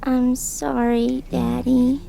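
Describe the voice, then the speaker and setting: Woman playing a very slow, frail little girl. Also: sits high, level tone, gravelly, mellow, slight background noise, full character voice. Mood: frail, sad. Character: sick, sweet, apologetic little girl.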